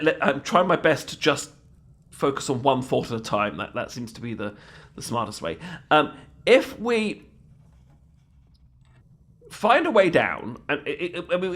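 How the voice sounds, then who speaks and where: A man's voice: fairly high pitch, a bit bright, pitched above the performer's own voice, heavily acted. A British man playing a bumbling, lovestruck knight in a comedic fantasy audio roleplay, stammering politely and apologetically. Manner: stammering, flustered, apologetic, repeats words.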